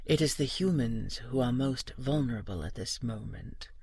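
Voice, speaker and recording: A woman playing a proud, guarded dragon queen in a fantasy audio drama, speaking formally with wry, restrained deference in a husky voice. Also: rumbling low, lively intonation, normal speed, a little mellow, heavily acted. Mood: tense, urgent. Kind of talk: audio roleplay fantasy acting.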